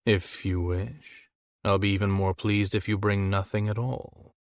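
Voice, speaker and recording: A man's voice: lowish voice, fairly even intonation, measured pace, no room sound. A man voicing a smitten, confident romance hero with an intimate, earnest and seductive delivery.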